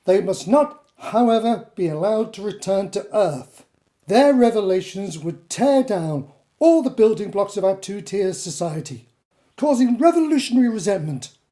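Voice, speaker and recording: A woman playing a stern, condescending authority figure in a radio drama, measured, formal and dismissive. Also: medium pitch, animated, warm tone, lightly acted. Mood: stern, imperious. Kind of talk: audio drama acting.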